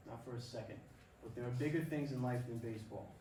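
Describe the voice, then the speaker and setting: Proud tender father, played by an actor, middle-aged, sentimental, quick delivery, stage play, distant mic. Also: mid-range voice, mellow, natural voice. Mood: tender, wistful. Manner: sentimental, encouraging. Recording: echoey room, distant mic, faint noise.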